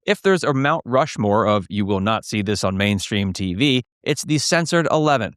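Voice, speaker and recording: A man's voice: lowish voice, expressive, normal speed, over music. A man delivering scripted documentary-style narration as a voice-over, close on the mic.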